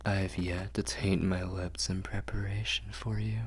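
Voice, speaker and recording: A man's voice: low voice, flat delivery, normal speed, husky. A man playing an ancient, possessive and doting vampire lord in an intimate ASMR roleplay, murmuring formally and drifting into whispers. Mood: hungry, coaxing.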